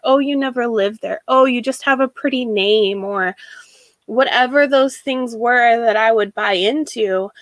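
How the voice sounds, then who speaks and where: A woman from Washington state with an American accent, giving a reflective personal monologue in a halting way with emphatic repeated phrasing. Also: fairly high pitch, a bit bright, dry recording. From Washington state, United States. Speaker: speaker sharing a personal story.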